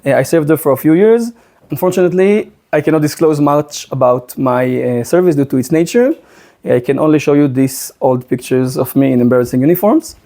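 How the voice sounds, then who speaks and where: A man's voice: on the high side, fairly quick, warm tone. A man of thirty with an Israeli accent, giving an earnest, explanatory presentation with frequent hesitations. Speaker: guest speaker, presenter. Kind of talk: public presentation to a student club.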